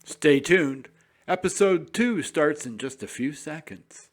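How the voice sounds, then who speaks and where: A man's voice: fairly high pitch, lively intonation, slow. A man announcing as a radio host, reading a scripted intermission link.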